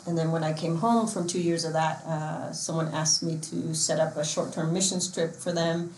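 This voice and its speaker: A woman's voice: sits low, steady tone, moderate pace, a little mellow, a little hiss or hum. A woman from Portland, Oregon who coaches youth sports and organizes missions trips, speaking earnestly in a casual interview with frequent fillers and restarts. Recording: echoey room with faint ambience.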